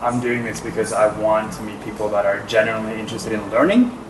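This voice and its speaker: Norwegian man, low-voiced, roomy distant sound. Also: fast talker, background noise. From Norway. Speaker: interview guest, actor. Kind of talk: casual interview answers. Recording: roomy, distant mic, music underneath.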